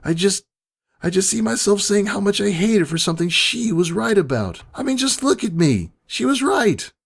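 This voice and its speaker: A man's voice: sits high, big pitch swings, moderate pace, gravelly, slightly rough, voice pushed up, heavily acted. A man voicing a young female pegasus pony, brash and grief-stricken, in an emotional dramatic reading. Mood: anguished, guilty. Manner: emotional, pleading outbursts. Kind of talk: dramatic fan fiction reading. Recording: background music.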